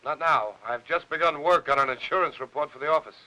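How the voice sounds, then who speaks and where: A man's voice: on the high side, fast talker, sharp tone. An actor playing a brash, cocky young man in the style of a 1950s film noir. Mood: curt. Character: brash, cocky, moody young husband and flier. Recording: noisy old film soundtrack.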